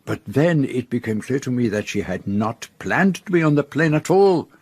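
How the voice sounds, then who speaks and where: An actor playing a confident, methodical detective in a radio drama, speaking formally and deliberately as he lays out a case. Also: medium pitch, lively intonation, darkish tone, breathy edge, no room sound. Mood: grave, explanatory. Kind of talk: radio drama acting, detective's denouement monologue.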